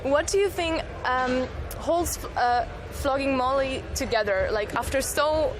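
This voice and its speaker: A woman's voice: high voice, measured pace. A woman with a Romanian accent, a native Romanian speaker, asking casual interview questions. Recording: noisy outdoor urban ambience with traffic.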